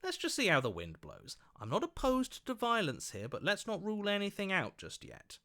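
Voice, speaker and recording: A man voicing a scheming, flattering opportunist in a video game, with an oily, ingratiating and theatrical delivery. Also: sits high, moderate pace, heavily acted. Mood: weaselly, agreeable.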